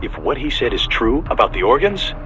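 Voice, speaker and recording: A man playing a lively, brisk mission handler. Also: medium pitch, music in the background, lightly acted. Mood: brisk, focused. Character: brisk, level-headed male mission handler for a soldier. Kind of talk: video game cutscene acting.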